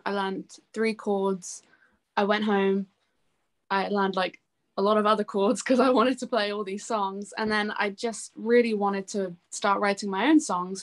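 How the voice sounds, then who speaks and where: A young woman chats as a guest in an interview, with a voice at an ordinary pitch. She talks informally and personally, linking her thoughts in a loose, conversational way. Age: young adult. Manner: chatty, informal, often says "like" and "you know". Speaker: interview guest, singer-songwriter. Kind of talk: casual chat interview.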